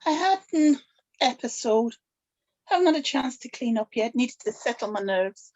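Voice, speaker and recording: Older female role for an actress: secretive grandmother, soft but firm, high voice, dull lo-fi recording. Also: animated, normal speed, slight background noise, natural voice. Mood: measured, reflective. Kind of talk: scripted table-read acting.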